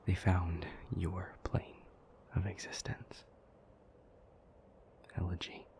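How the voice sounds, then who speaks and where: A man playing an ancient, somber, thoughtful demon in an intimate audio roleplay, telling old myths in a breathy, hushed, halting voice with long pauses. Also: low voice, level tone. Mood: solemn storytelling. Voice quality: breathy, whispery.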